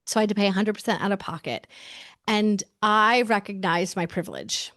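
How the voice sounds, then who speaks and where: A woman's voice: mid-range voice, a little fast, a bit bright, no echo, studio quality. A woman in her forties talking candidly as an interview guest, emphatic and chatty, often saying "like" and restarting her sentences. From United States.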